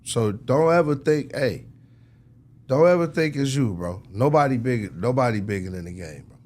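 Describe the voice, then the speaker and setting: A man's voice: mid-range voice, expressive, moderate pace, a little mellow. An American man giving casual, emphatic, preachy advice as an interview guest, often checking the listener follows with tag questions. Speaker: music industry executive, interview guest.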